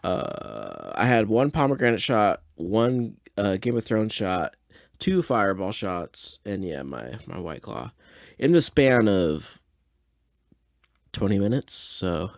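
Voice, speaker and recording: A man's voice: on the low side, sing-song, slow pace, creaky, dry recording, studio quality. A mildly tipsy man hosting a podcast, rambling casually and hesitantly as he thinks aloud in a laid-back way. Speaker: podcast host. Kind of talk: casual rambling audio reaction and commentary.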